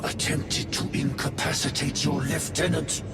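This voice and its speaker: A grave, menacing war chaplain from a video game. The delivery is very slow and creaky, heard in a roomy, distant space with music underneath. He sounds zealous and suspicious. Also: fairly high pitch, flat delivery. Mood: stern, accusing. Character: stern, suspicious military chaplain, zealous and threatening. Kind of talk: dramatic video game acting.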